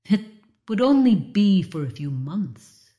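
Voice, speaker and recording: An actress playing an earnest healer who cares for war orphans. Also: female voice, medium pitch, big pitch swings, slow, mellow, lo-fi sound. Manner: earnest, a little breathless.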